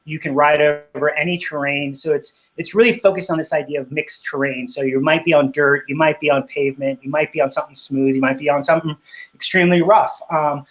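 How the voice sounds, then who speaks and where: A man's voice: fairly high pitch, fairly even intonation, fairly quick, a bit bright. Man speaking with heavy filler hesitation. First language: Spanish. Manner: hesitant, many fillers and restarts. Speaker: interview guest, cycling business owner. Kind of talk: casual interview talk.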